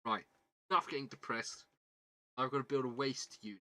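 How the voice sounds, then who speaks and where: A man's voice: mid-range voice, moderate pace, sharp tone. A man with a southern British English accent chatting casually as a livestream host, rambling and self-deprecating, often repeating his words. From southern England. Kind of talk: casual livestream chat while building a model kit.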